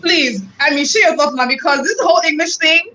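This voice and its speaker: A woman hosting an interview, asking questions in an emphatic, animated way. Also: sits high, a little fast, a little hiss or hum. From Nigeria. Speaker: host. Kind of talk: conversational interview.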